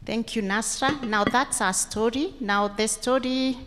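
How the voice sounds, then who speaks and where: A woman with a Kenyan accent moderating a panel in deliberate, short phrases. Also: fairly high pitch, fairly even intonation, a bit bright, slight background noise. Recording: echoey hall with long reverb.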